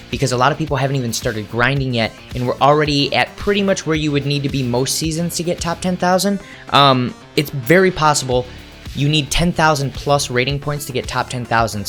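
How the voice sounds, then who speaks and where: A man's voice: mid-range voice, fairly bright tone. A man talking as a gaming YouTuber, explaining and advising viewers directly in a conversational commentary style. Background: background music.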